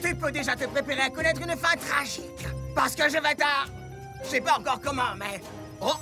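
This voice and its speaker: A man voicing a cocky, hot-headed football star in a French cartoon dub, with a boastful, melodramatic manner and a creaky voice. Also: very high-pitched, fairly quick. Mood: exasperated. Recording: music under the voice.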